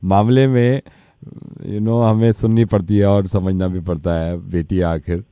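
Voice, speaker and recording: A man playing a solemn middle-aged father on a prank call, slow, apologetic and paternal, with a deadpan delivery. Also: low voice, smooth, no room sound, lo-fi sound.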